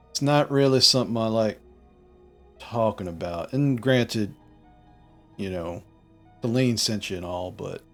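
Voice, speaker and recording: A man voicing a cautious, dry-mannered middle-aged townsman in a tabletop game, speaking low and measured over faint music. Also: fairly bright tone. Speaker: non-player character.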